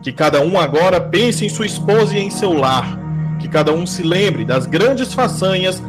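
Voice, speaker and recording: Homem interpretando comandante guerreiro audaz, voz aguda. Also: male voice, high voice, expressive, normal speed. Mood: rousing, defiant. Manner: declamatory, rousing. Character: bold male warrior commander rallying his army. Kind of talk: radio drama acting. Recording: music behind the voice. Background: loud music.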